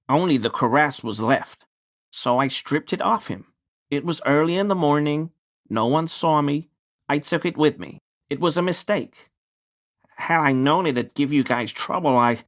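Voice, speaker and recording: A grovelling, small-minded townsman from a video game, speaks in a mid-pitched male voice. He is halting and apologetic, forever pleading with authority and eager to appear decent. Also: a bit bright. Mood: defensive, explaining, then contrite, pleading. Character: nervous, grovelling small-time bigoted townsman under police questioning. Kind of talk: scripted video game character dialogue.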